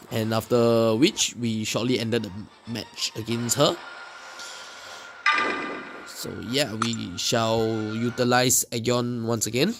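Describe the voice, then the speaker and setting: Male game commentator, unhurried and explanatory, mid-pitched, casual with halting phrasing. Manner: hesitant, explanatory, pausing mid-sentence. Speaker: gaming video creator. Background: music.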